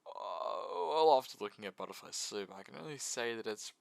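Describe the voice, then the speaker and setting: A man's voice: medium pitch, animated, brisk, creaky, no room sound. A man giving a casual, jokey video essay about games, with mock sincerity.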